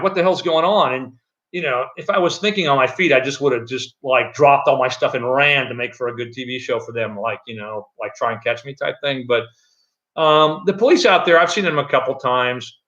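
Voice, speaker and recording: A middle-aged man with a loud voice, chatting loosely on a live stream from an echoey room at home, with many fillers and restarts. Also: on the high side, highs cut off. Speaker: channel host. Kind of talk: casual live-stream chat.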